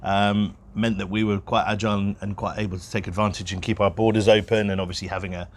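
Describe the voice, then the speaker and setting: Low-voiced man chatting over background noise. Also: little pitch movement, fast. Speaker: podcast co-host, real estate broker. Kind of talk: casual unscripted podcast chat.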